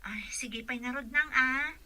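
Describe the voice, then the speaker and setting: Young female caregiver, played by a woman: highish, bright, polite, gently concerned radio drama voice. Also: a little slow, exaggerated voice. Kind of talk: Ilocano radio drama acting.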